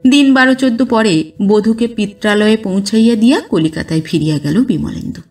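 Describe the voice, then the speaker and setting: A woman reading a literary story aloud as a narrator, with expressive, dramatized delivery. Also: mid-range voice, a little fast, recorded from a distance. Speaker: audiobook narrator. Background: background music.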